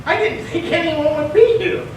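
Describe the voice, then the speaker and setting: A man's voice: very high voice, moderate pace. An older man playing a mild, rambling, unassuming Irish vampire time traveler in live radio theatre. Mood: affable, easygoing. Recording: very echoey hall, distant mic, faint noise.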